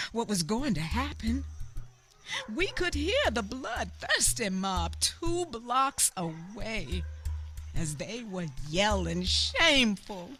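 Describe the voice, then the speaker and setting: A woman playing a grieving eyewitness in a radio theater monologue, telling her story haltingly and repeating phrases for emphasis. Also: a little high, a bit bright, exaggerated voice. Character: grieving female eyewitness recounting a mob lynching in anguish.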